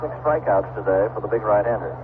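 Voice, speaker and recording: A man's voice: fairly low pitch, fast. A man calling baseball play-by-play live on a noisy, tinny 1970s radio broadcast. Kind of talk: live radio sports commentary. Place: ballpark broadcast booth.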